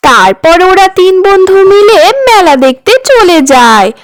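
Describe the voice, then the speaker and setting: A woman narrating a children's cartoon story in a simple, even storytelling manner. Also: very high voice, measured pace, crowd noise.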